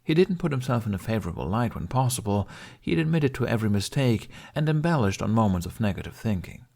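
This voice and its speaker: A man's voice: fairly low pitch, sing-song, quick pace, creaky, darkish tone. A man narrating a scripted story as an audiobook reader.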